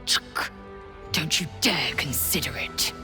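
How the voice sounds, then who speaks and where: An actress playing a proud, fierce githyanki warrior who speaks with sharp contempt in a video game. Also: female voice, deep voice, animated, slow, over music. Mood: scornful.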